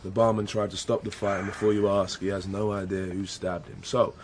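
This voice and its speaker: A man's voice: deep voice, level tone, fairly quick, darkish tone, a little hiss or hum, lightly acted. A British man playing a sceptical, impatient younger police detective in a radio drama, blunt and colloquial. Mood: matter-of-fact. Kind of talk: naturalistic radio drama dialogue.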